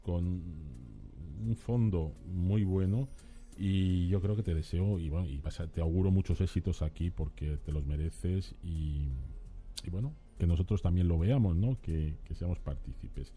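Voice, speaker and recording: A man hosting a radio interview, speaking in a relaxed way with fillers. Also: deep voice, a little slow, soft background music. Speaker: radio host.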